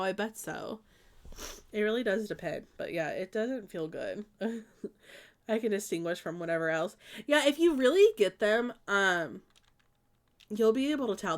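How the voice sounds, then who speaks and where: A young woman from the Midwest, stuffed up with allergies, chatting casually with viewers on a live stream and rambling with lots of ums and likes. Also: mid-range voice. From American Midwest.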